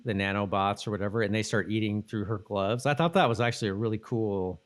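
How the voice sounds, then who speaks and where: Male podcast co-host, slightly low pitch, easygoing slangy recap conversation. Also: fast. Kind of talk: casual TV recap chat.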